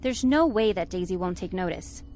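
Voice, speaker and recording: An actress playing a sensible, sardonic spaceship crew member with a dry, exasperated manner, in a 1950s-style space radio serial. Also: female voice, fairly low pitch, lively intonation, normal speed, darkish tone, slight background noise, natural voice. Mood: practical, urgent.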